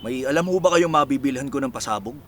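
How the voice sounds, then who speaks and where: A man's voice: medium pitch, expressive, quick pace, slight background noise, close to an everyday voice. A man acting a wily young fisherman in a Filipino radio drama, coaxing and glib in colloquial speech. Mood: eager. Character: wily young fisherman who uses dynamite, slick and evasive.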